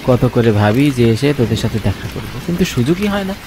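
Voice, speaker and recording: An earnest young sceptic in a horror cartoon, played by a man. He speaks conversationally and questioningly at a middle pitch. Rain and thunder are noisy behind him. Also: sing-song, a little fast. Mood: casual. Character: sceptical young city-working man visiting his home village.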